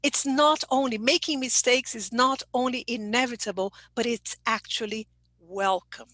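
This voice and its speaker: High-voiced woman teaching a webinar. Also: fairly even intonation, normal speed, a bit bright, no echo. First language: Portuguese. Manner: explanatory, checks in with "okay?" and "right?". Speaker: teacher trainer giving a webinar. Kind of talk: webinar lecture.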